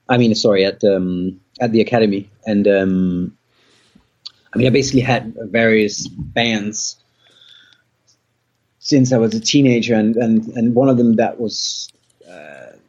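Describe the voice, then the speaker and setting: A man's voice: lowish voice, a little mellow. A man from Denmark, a musician guest, talking casually in an interview, rambling with lots of fillers and restarts. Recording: dull lo-fi audio.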